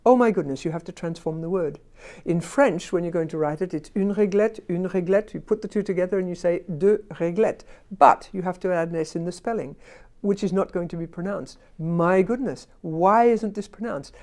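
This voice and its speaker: Mellow-voiced woman teaching by questions. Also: lowish voice. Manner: emphatic, frequent rhetorical questions. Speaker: language teacher. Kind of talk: conversational discussion.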